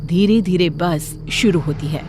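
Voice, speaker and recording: A woman's voice: on the low side, measured pace. A woman narrating a scripted story in a dramatic storytelling style. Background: background music.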